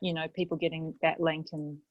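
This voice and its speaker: A woman's voice: low voice, little pitch movement, fairly quick, dry recording, dull audio. Middle-aged lady on a headset explaining stuff, dry close sound, no-nonsense. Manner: plain, practical explainer. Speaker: library workshop host. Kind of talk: explaining a video-call app. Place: small office with green wall. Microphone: headset mic.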